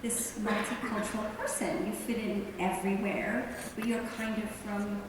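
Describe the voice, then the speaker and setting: A woman's voice: mid-range voice, fairly even intonation, normal speed. A woman moderating a live question session, upbeat and leading the talk in a large hall. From born in Iran. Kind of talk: hosting a live Q&A. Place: large hall before an audience. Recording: echoey hall, distant mic, background noise.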